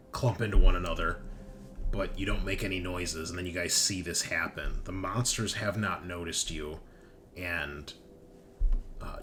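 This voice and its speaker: A man with a fairly low voice leads a tabletop roleplaying game as game master. He improvises scenes in loose, conversational language. Clear music plays behind him. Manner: casual, conversational, acts out characters' lines. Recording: loud background music.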